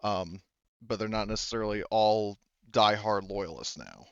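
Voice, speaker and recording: A man speaking as game master of a tabletop roleplaying game, halting as he thinks aloud. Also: fairly low pitch, fairly even intonation, fairly bright tone, clear, resonant tone, no room sound, lo-fi sound.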